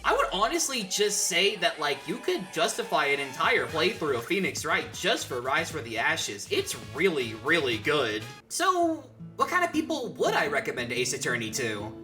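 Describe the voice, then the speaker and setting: Animated, opinionated man reviewing games. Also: on the high side, moderate pace, few pauses, faint music behind. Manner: opinionated, sweary. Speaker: video essayist. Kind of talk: casual game review narration.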